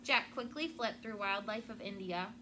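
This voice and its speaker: A woman teacher reading a story expressively to children and pausing to explain words simply, with noise behind her. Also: medium pitch. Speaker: elementary school teacher. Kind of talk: read-aloud storytelling to children, with questions and vocabulary asides. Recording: loud background noise.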